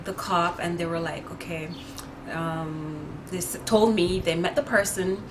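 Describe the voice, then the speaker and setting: A woman's voice: lowish voice, noisy background. A woman with a Jamaican accent telling a personal story to camera outdoors in a casual, rambling vlog style full of fillers and restarts. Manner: chatty, animated, many fillers and restarts. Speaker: vlogger. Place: outdoors near neighbors.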